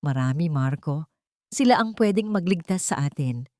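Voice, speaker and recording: A woman's voice: deep voice, lively intonation, moderate pace, a little mellow, no room sound, clean studio sound. Woman as a confiding, gossipy male friend. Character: man's well-informed friend passing on business gossip.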